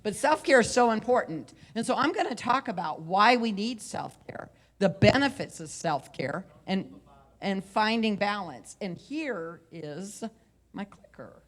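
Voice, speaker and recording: A woman in her forties giving a loud, conversational motivational talk into a handheld mic on a conference room stage. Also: medium pitch, animated, unhurried. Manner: loud, conversational, with repeated phrases. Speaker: motivational speaker and coach. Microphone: handheld microphone.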